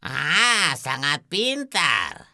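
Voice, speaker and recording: A theatrical, wildly swooping cartoon tsar. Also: male voice, on the high side, very animated, extremely slow, gravelly, sharp tone, slight background noise, exaggerated voice. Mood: sly, musing. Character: capricious teasing Tsar who sets riddles. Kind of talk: animated cartoon dub.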